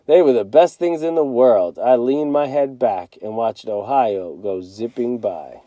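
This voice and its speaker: A man reading a children's novel aloud as a teacher would, in an expressive storytelling style. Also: mid-range voice, measured pace, dry recording.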